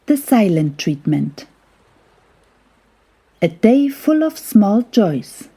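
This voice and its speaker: A woman narrates a children's bedtime story with an unhurried, soothing delivery. Her voice is mellow and husky, and her pitch moves expressively through the gentle phrases. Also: sing-song, faint music behind.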